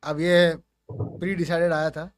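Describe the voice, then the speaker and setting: A man's voice: a little high, level tone, measured pace. An Indian man talking casually and informally as a gaming creator, slipping between Hindi and English with plenty of slang. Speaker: gaming content creator presenting his voice pack. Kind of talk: casual commentary with demonstrations.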